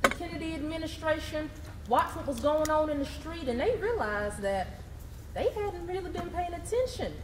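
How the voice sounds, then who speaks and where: A woman on stage playing a pointed narrator, delivering scripted readers theatre with deliberate pauses. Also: high-pitched, animated. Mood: serious. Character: female narrator of civil rights history, pointed, wry. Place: live stage performance in a hall. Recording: echoey hall, loud background noise.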